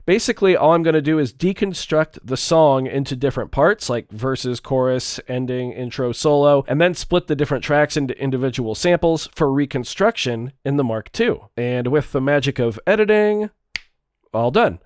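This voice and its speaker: A man with a stuffed-up nose, talking through a relaxed, chatty walkthrough of his music gear. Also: medium pitch, moderate pace, dry recording, clean studio sound. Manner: relaxed, chatty, with fillers and small restarts. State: stuffed up, congested. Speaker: music gear video creator. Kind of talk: casual tutorial walkthrough.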